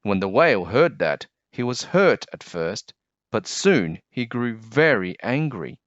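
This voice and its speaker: A man with a fairly low voice reads a children's storybook. He takes his time and varies his tone a great deal, in a dry, slightly dull recording. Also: sing-song, a little slow, no echo. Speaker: children's book narrator. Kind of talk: expressive scripted storybook narration.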